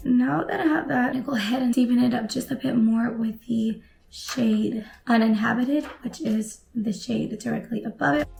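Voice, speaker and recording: A young woman with a raspy, hoarse voice, still recovering after losing it, chatting casually through a makeup tutorial in a small room at home. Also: fairly high pitch, normal speed, faint music behind. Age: young adult. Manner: relaxed, chatty product commentary while applying makeup. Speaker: beauty vlogger.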